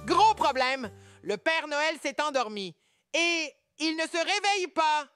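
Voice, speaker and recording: A woman's voice: high voice, animated, unhurried, fairly bright tone, dry recording, soft background music. A woman voicing a small, frantic elf in a cartoon. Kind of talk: cartoon voice acting.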